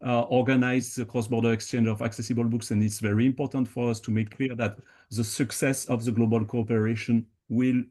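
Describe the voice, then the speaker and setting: A man answering audience questions at a conference panel, explaining in a measured way with frequent pauses and fillers. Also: mid-range voice, level tone, darkish tone.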